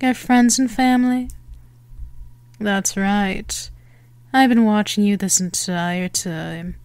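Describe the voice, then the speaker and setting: A woman voicing a possessive, menacing female vampire in an ASMR roleplay, teasing and theatrical in her deliberate phrasing. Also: mid-range voice, darkish tone, clear, resonant tone, no echo. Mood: possessive, threatening.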